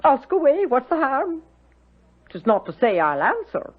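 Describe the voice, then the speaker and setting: A woman's voice: high voice, big pitch swings, a little slow. An actress playing a chatty, motherly Irish housekeeper in a 1970s radio drama, with folksy, lilting, gossipy talk. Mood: teasing, gossipy. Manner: folksy, voluble, lilting storytelling. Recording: dull lo-fi radio recording.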